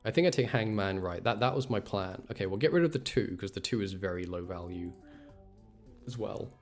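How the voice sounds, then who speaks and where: A young man with a fairly low voice chats casually on a game stream. He rambles and thinks out loud as he plays, with clearly audible music behind him. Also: lively intonation, fairly quick. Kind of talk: casual gaming stream chat.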